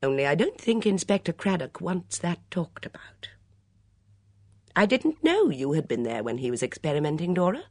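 Middle-aged lady of the house, acted by a woman, husky, brisk, composed, polite, lively intonation, audiobook. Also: fairly low pitch, no echo, studio quality, pitched above the performer's own voice. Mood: controlled, reassuring.

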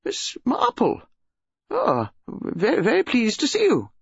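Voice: mid-range voice, wildly swooping pitch, a little slow, vocal fry, slightly rough, dry recording, lo-fi sound, voice pushed up, heavily acted. A reader voicing Colonel Bantry, a bluff older English colonel who greets his guests heartily.

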